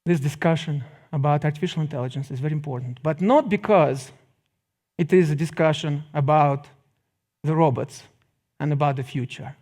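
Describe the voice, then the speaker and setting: A middle-aged man lectures to the public in Russian-accented English. His voice sits a bit higher than most men's. He phrases things deliberately, pausing for effect and adding wry remarks. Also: a little mellow. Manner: deliberate phrasing with dramatic pauses and wry asides.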